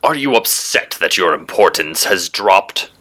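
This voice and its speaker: Male narrator acting proud superhero, very low pitch, hard bright edge, haughty, formal, disdainful. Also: moderate pace, gravelly, heavily acted. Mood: scornful. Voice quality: low growl.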